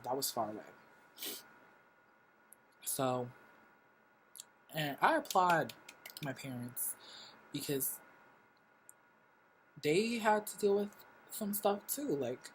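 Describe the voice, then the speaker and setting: Young man, reflective, casual, middle pitch, faint hiss. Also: low-quality recording. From Korea. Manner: reflective, casual with frequent fillers. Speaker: vlogger. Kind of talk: personal life story vlog.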